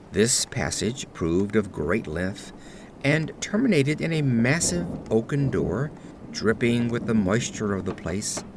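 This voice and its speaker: Man playing a haunted, highly expressive gothic narrator. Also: slight background noise. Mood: grave suspense. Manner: formal, theatrical, expressive delivery. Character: haunted aristocratic man recounting terror in grave, ornate words. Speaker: narrator of an audio drama. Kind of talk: dramatic gothic horror storytelling.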